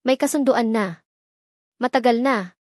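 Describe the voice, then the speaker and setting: A woman voicing a shaken young bride who speaks in a trembling, hushed voice. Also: fairly high pitch, unhurried, dry recording, studio quality. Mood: resolute.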